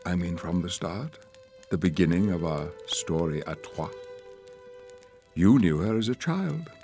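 An actor playing an aging, lonely former officer in a radio drama, speaking in a formal, reflective, deliberate way. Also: male voice, low voice, a little mellow. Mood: quietly accusing. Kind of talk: radio drama acting, literary monologue.

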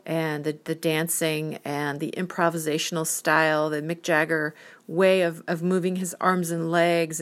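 A woman's voice: low voice, a bit bright. Dreamy fifty-year-old woman musing aloud. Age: 50s. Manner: dreamy, rambling, spiritual musings. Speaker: podcast host. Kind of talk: reflective solo monologue.

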